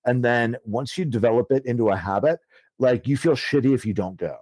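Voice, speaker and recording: A man's voice: mid-range voice, brisk, a little mellow, no echo. A man in his thirties, an author guest telling his story in a casual, rambling way with many fillers and easy swearing. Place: podcast studio.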